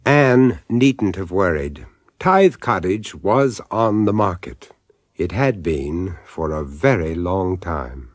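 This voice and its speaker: A man narrating an eerie radio drama as a suave, wry storyteller, measured and deliberate. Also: low voice, lively intonation, dry recording, clean studio sound, heavily acted. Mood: wry storytelling.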